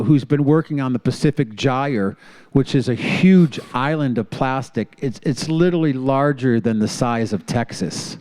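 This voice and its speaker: A male host with a crisp, resonant voice, speaking in a dry close-up sound. He talks in an easygoing, eager way, tossing out fun facts and handing off to the next segment. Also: mid-range voice, darkish tone, no room sound. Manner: enthusiastic, shares fun facts with a chuckle. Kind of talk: casual radio show hosting.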